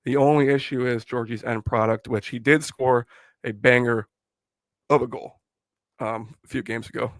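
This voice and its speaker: Hoarse, sick young man. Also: medium pitch, steady tone, normal speed, no echo, studio quality. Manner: rambling, opinionated, filler-heavy. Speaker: fan channel host. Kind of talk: casual live stream chat.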